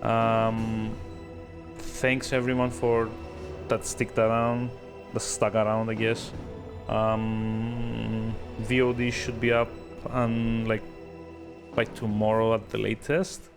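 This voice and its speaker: A man streaming a video game, giving casual, rambling live commentary with hesitant pauses and dry sarcasm. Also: fairly low pitch, completely flat delivery, music in the background.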